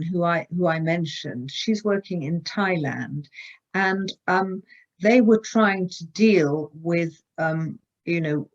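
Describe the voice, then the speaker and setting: A woman's voice: lowish voice, a little mellow, highs cut off. A woman speaking as an author in a virtual panel discussion, earnest and hesitant, with many fillers.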